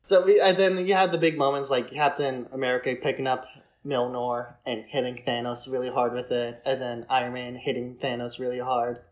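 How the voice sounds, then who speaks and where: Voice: medium pitch, level tone, fairly quick. A podcast co-host in a casual film discussion, conversational and often chuckling.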